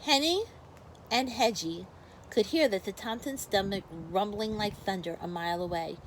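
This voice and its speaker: A woman's voice: medium pitch, moderate pace, slight background noise. A woman teacher speaking expressively to young children in a story read-aloud with casual asides. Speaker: first grade teacher.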